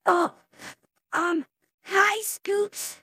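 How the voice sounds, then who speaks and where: A brash tomboy pony in a cartoon tale. The voice is very high and bright, jumping around in pitch. Pride shows through an awkward, self-conscious manner. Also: female voice, very animated, moderate pace, dry recording, clean studio sound, exaggerated voice. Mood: embarrassed. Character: brash tomboy female pony, proud, easily embarrassed.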